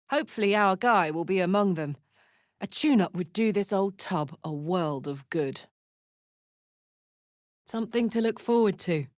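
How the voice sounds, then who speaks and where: A brash, big-hearted warrior woman for a fantasy video game. She is blunt, salty and earnest, speaking at an unhurried pace in a mid-range voice. The sound is dry, with no room on it. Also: medium pitch, no echo. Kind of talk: video game character voice lines.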